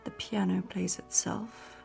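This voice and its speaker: An actress in a radio drama playing a polite, restrained wife of an overbearing husband, with a guarded manner. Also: female voice, medium pitch, flat delivery, a little slow, gravelly, darkish tone, soft background music. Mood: politely restrained.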